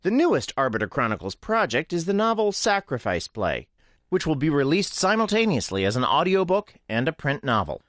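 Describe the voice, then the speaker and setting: Lively-pitched man narrating, very dry sound. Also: a little fast, no room sound. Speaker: series creator. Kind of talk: scripted promo narration.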